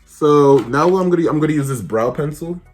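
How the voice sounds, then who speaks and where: A man's voice: fairly high pitch, brisk, darkish tone, soft background music. Teasing young man playing interviewer. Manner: playful mock-interviewer, teasing. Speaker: brother doing her makeup and interviewing her. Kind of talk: casual chat.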